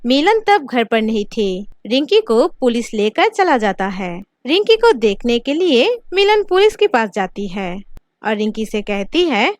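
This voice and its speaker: A woman's voice: on the high side, sing-song, unhurried, no room sound. A woman reading scripted narration for a cartoon story.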